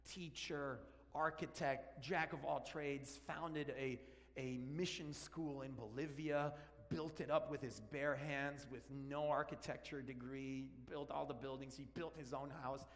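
A man in his forties from Argentina, a native Spanish speaker, preaching an animated, joking sermon while walking around the stage. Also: medium pitch, unhurried. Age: 40s. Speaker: pastor. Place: large church sanctuary. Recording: long church hall reverb.

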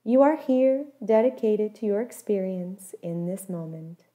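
A woman leading a guided body scan meditation, giving gentle, measured instructions and letting her phrase endings fall softly. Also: mid-range voice, lively intonation.

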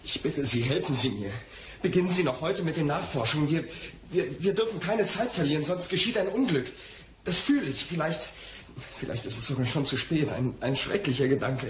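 An actor in a radio play, playing an anxious, pampered young poet who pleads in a hesitant, agitated way and repeats himself. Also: male voice, fairly high pitch, lively intonation, fairly quick, slight background noise, close to an everyday voice. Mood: desperate, anxious. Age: young adult.

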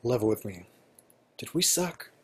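A man's voice: mid-range voice, big pitch swings, measured pace, a little hiss or hum. A man playing a pushy, anxious indie band frontman in an amateur script read. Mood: deflated. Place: home, group video call.

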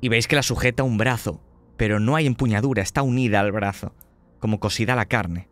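A man's voice: fairly low pitch, brisk. A man narrating a tabletop horror game as game master, describing scenes vividly and dramatically.

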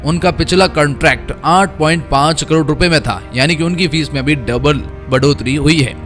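A young man reading a scripted cricket news voice-over in a brisk, emphatic way, with asides to viewers. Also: a little high, a bit bright. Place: voice-over, not in pictured place. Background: faint background music.

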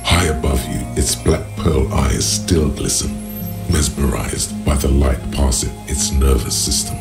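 Game narrator: brooding inner voice, rock-bottom gravelly pitch, deliberate, music underneath. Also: male voice, full character voice. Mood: measured, mesmerized. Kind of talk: scripted second-person game narration.

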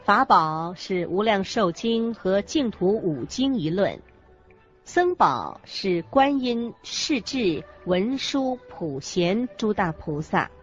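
A woman acting as a measured, expressive narrator of Buddhist teaching in a scripted radio programme. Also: mid-range voice. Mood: measured, devout. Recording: dull lo-fi recording with faint music.

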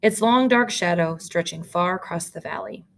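A middle-aged woman with a slightly low voice leads a read-aloud lesson as a teacher. She phrases things deliberately and pauses the reading to explain. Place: small indoor room with photo-covered wall.

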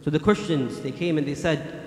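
A man's voice: on the high side, darkish tone. Man preaching in a reverberant mosque. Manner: recites Quranic Arabic in a fast chant between English explanation; emphatic, repetitive preaching with gestures. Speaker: imam giving Friday sermon. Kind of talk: sermon. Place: large mosque prayer hall. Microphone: standing mic on a stand. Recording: echoey mosque hall with long reverb.

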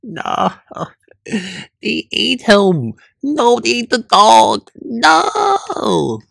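A man reading a webcomic aloud as a host, excitable, with casual asides and stumbles over hard names. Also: high-pitched, very slow, vocal fry, no echo, clean studio sound. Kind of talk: reaction read-along with casual asides.

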